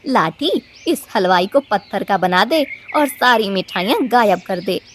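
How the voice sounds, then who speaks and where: A woman's voice: mid-range voice, expressive, a little slow, a bit bright, slight background noise. Woman playing a stern, greedy old grandmother bird. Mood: greedy, scheming. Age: older. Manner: scolding, complaining, dismissive. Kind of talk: Hindi children's cartoon voice acting.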